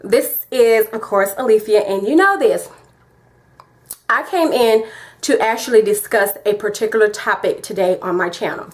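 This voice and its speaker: A woman's voice: mid-range voice, normal speed. A woman with a Southern American accent giving her opinion in a casual vlog, emphatic and repetitive, talking straight to her viewers. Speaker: vlogger.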